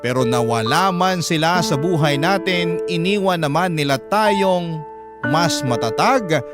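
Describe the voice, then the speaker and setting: A man narrating a letter as a radio drama host, sentimental and dramatic, with drawn-out phrasing. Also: fairly high pitch, lively intonation. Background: prominent music.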